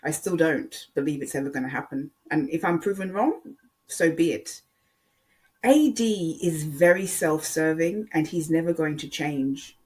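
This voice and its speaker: Casual online commentator, mid-pitched, rambling and hesitant delivery with emphatic repetition. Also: animated, measured pace, darkish tone. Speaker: online video creator.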